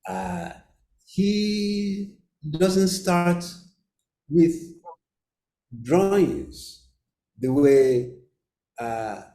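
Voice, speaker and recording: A man answering as author on an academic panel, halting and repeating his words, heard in an echoey room. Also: high-pitched, warm tone.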